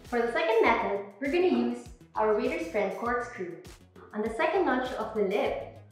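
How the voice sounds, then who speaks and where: A young woman giving clear step-by-step instructions on wine bottles, in an instructional demo recorded indoors. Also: fairly high pitch, lively intonation, normal speed, faint music behind. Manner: clear, plain step-by-step explaining. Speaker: wine presenter. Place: indoor room with a table. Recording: very echoey room.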